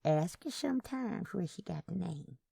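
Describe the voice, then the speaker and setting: A woman's voice: fairly low pitch, lively intonation, moderate pace, creaky, dark tone, slightly rough, no echo, studio quality, exaggerated voice. A woman voicing a dainty old Southern aunt in a story, speaking coyly and delicately. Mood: coy, tender. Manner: coy, delicate.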